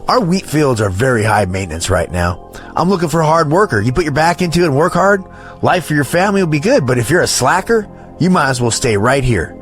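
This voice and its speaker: An actor playing a contemptuous, bullying landowner in an audio drama, blunt and demanding. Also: male voice, a little high, big pitch swings, a little fast, faint music behind.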